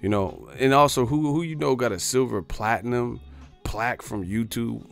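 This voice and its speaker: A man's voice: medium pitch, normal speed, creaky, faint music behind. A man ranting on a livestream in a boastful, profane, streetwise way. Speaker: boxing YouTuber. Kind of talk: livestream rant.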